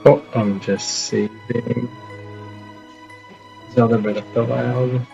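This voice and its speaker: A man's voice: mid-range voice, level tone, slow pace, gravelly, warm tone. A man streaming a game, casually reading dialogue and commenting as he plays. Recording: dull lo-fi mic, game music behind.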